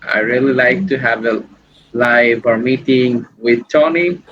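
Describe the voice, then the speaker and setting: A man's voice: medium pitch, unhurried, fairly bright tone. A young man from Bangladesh, a university student, speaking hesitant English on a video call. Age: young adult. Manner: hesitant, non-native English with restarts. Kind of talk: casual video call chat. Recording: dull lo-fi call audio with faint noise.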